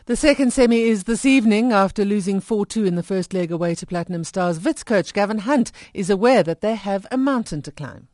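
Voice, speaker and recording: A woman with a South African accent presenting a radio sports news bulletin in a brisk, crisp manner. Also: mid-range voice, lively intonation, a little mellow, no room sound. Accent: South African English. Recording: dry studio mic.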